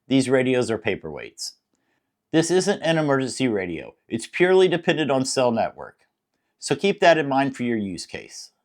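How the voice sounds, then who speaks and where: A man with an American accent narrating a scripted product review in a plain-spoken, explanatory way. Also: medium pitch.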